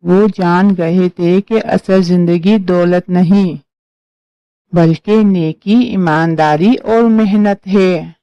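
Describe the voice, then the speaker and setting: A woman's voice: fairly low pitch, fairly even intonation, slow, clean tone, no room sound, muffled recording. A woman narrating a scripted story as a storyteller.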